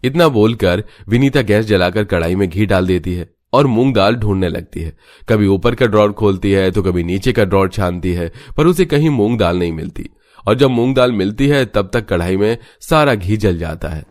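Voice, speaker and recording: A man's voice: lowish voice, expressive, moderate pace, a little mellow. A man reading scripted narration for a cartoon family story as its narrator.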